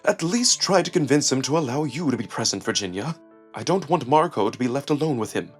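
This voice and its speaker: A man's voice: mid-range voice, animated, fairly quick, soft background music, close to an everyday voice. A man playing a sly, smug male jackal schemer with haughty, precise, theatrical speech. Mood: concerned.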